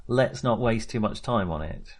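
A man co-hosting a podcast in a casual film discussion, speaking in a halting, wry, jokey manner. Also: fairly low pitch, lively intonation, darkish tone. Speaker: podcast co-host.

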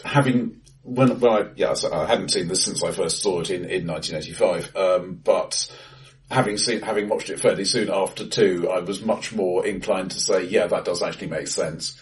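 A man's voice: low-pitched, moderate pace, far from the mic, rough recording. A man co-hosting a casual film discussion with dry, wry asides, often repeating words as he finds them. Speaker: podcast co-host. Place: acoustically bright room, face to face. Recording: bright room, voice a little off-mic.

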